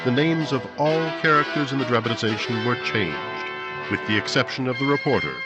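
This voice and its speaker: An actor playing a polished, earnest 1950s radio announcer, delivering scripted copy in a formal, emphatic way. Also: male voice, medium pitch, fairly quick, dull audio, loud music behind the voice, lightly acted.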